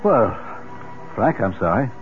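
A man's voice: medium pitch, sing-song, slow. An actor playing an awkward, sympathetic man breaking bad news in a radio drama, hesitant in delivery. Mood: uneasy. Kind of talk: 1970s radio drama acting. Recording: tinny band-limited old radio, music behind.

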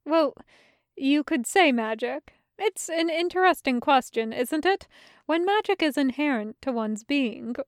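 A woman playing a polite, bookish male angel in an audio drama, fussy and reasonable, with a measured, musing, precise way of talking. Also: fairly high pitch, dry recording, clean studio sound, natural voice. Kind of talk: audio fiction acting.

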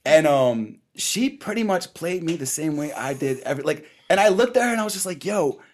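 A young man in his late twenties spins a story in loose, slangy chat, laughing as he goes. His voice is a bit higher than most men's and swings up and down a lot. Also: fairly quick. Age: 20s. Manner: animated, slangy, laughs through his stories. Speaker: podcast co-host.

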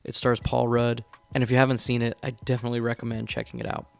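A man hosting a casual podcast interview, his voice gravelly and full of vocal fry. He talks with easy enthusiasm and keeps agreeing eagerly with his guest. Faint music sits behind him. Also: mid-range voice, flat delivery, fast. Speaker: podcast host.

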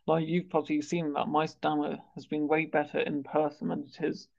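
A man with a stammer who speaks haltingly, repeating words and sounds as he hosts a conversational interview. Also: a little high, level tone, creaky. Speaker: interviewer and stammering awareness campaigner. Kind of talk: conversational interview on a video call. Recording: dull, lo-fi video call audio.

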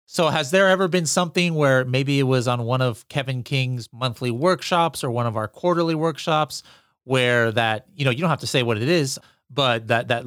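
A man's voice: mid-range voice, a bit bright, no room sound, clean studio sound. A man hosting an interview, encouraging his guests with short affirmations. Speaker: host.

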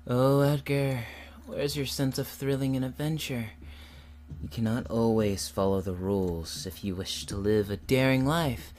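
A man's voice: mid-range voice, unhurried, darkish tone, close to an everyday voice. A man playing a sly, teasing, mischievous courtly tempter in a theatrical fantasy audio drama, with an arch, coaxing manner. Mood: tempting.

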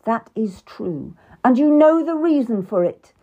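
A woman's voice: mid-range voice, very animated, slow pace, phone-quality sound, heavily acted. Woman doing an imperious, angry king. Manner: loud, curt and scolding. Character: imperious angry middle-aged king, impatient. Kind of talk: audiobook character reading.

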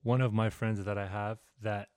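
A man's voice: fairly low pitch, little pitch movement, moderate pace, a little mellow, clean tone, no room sound, clean studio sound. A young man hosting a casual podcast interview, asking long reflective questions. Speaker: podcast host.

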